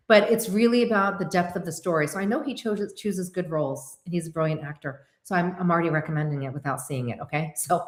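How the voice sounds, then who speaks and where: A woman in her forties, born to Korean as a first language, hosting a casual livestream chat and answering viewers in an animated way. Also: fairly low pitch, reverberant. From United States. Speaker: host.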